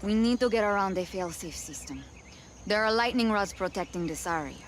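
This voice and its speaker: A woman's voice: mid-range voice, animated, fairly quick, slight background noise, close to an everyday voice. A woman acting a confident, businesslike young rebel in a video game, speaking in a matter-of-fact, explanatory way.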